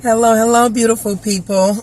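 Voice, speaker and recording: A woman, hoarse and scratchy after an illness, giving a casual motivational talk that explains ideas step by step and keeps checking in with listeners. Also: on the high side, a little mellow. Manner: teaching, repeats points and checks in with the listener. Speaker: vlogger giving inspirational talks.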